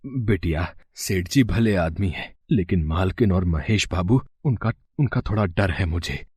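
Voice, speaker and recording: Man acting a worried, humble poor farmer, husky voice. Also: low voice, animated, unhurried, darkish tone, dry recording, studio quality, exaggerated voice. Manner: hesitant, deferential, anxious.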